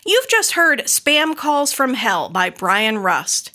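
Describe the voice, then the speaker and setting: Female podcast host, bright and lively, highish voice, scripted outro read with light music underneath. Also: takes it slowly.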